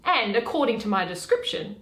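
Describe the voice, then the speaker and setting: A woman playing a witty, weary young noblewoman in a dramatic, deliberate reading of Shakespeare. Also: mid-range voice, very animated, a bit bright, voice pushed down. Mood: mocking. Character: Portia, witty weary young noblewoman, mocking her suitors. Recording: echoey room.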